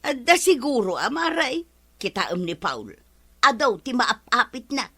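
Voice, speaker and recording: A woman plays a complaining village farmer in an Ilocano drama. Her voice is bright, highish and very animated. She laments plaintively with an Ilocano accent. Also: measured pace, slightly rough, exaggerated voice. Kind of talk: comedy drama acting.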